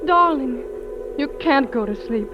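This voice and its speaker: An actress playing a tender sweetheart who pleads like a vision, in 1950s radio drama style. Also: female voice, high voice, expressive, unhurried. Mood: urgent pleading. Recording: muffled old radio recording, music behind.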